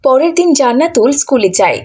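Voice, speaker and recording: High-pitched woman narrating a cartoon story. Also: very animated, quick pace, heavy background noise.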